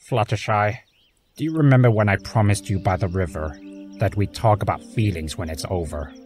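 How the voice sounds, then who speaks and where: A man's voice: on the low side, normal speed, darkish tone, soft background music, close to an everyday voice. A man playing a bitter, self-loathing creature of chaos in an audio drama, theatrical and volatile. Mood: cold, resigned. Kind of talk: fan audio drama acting.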